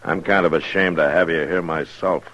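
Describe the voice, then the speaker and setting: An actor playing a laconic, steady Old West lawman in a radio drama, terse, calm and courteous. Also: low-pitched, a little fast, dull audio, slight background noise. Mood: gruff. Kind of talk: 1950s radio western acting.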